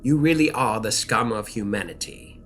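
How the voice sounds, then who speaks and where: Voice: medium pitch, normal speed, slight background noise, lightly acted. An actor playing a contemptuous, shadowy knight in armor in an audio drama, speaking with scorn and deliberation. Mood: cold contempt.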